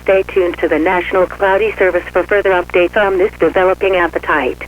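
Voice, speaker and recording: A man with a high voice reads an official emergency bulletin in a formal, measured delivery. The audio is dull and radio-like, with a faint hum of noise behind the words. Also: steady tone. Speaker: emergency alert announcer. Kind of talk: scripted emergency weather bulletin.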